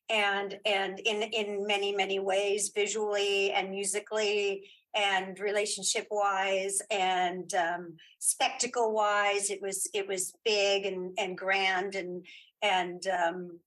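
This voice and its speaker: A woman is a guest in a casual interview. Her voice sits at a middle pitch. She speaks off the cuff, doubling back on words and restarting sentences as she thinks. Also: fairly bright tone, rough recording. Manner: halting, repeats words and restarts often. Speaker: guest, filmmaker and writer.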